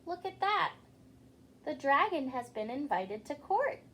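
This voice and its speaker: A woman reads a children's story aloud as a storytime host, theatrical and addressing her listeners directly. Her voice is high and swoops expressively, and she takes her time, with faint noise behind her. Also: big pitch swings, a little slow, fairly bright tone.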